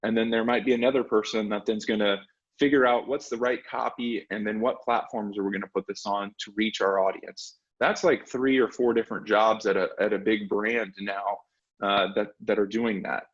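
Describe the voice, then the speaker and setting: A man giving conversational, explanatory answers as an interviewee, pitching an education service and repeating words as he phrases things. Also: fairly even intonation, normal speed, highs cut off. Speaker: interviewee, education software representative.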